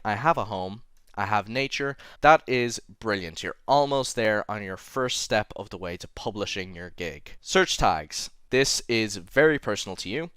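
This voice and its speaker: A young man with a mixed English-Irish accent talks through a how-to tutorial in a loose, chatty way, correcting himself as he goes. His voice is smooth and clear, mid-pitched, recorded dry and close on a desk mic in a small home room. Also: fairly bright tone, no echo. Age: young adult. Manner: chatty, self-correcting, apologetic asides. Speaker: tutorial host.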